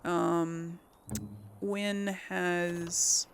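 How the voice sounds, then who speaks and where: A woman's voice: lowish voice, flat delivery, extremely slow, creaky, clear, resonant tone. A woman doing casual let's play commentary, informally talking herself through each move as she plays. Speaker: gamer and let's play host. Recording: game music behind the voice. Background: video game music.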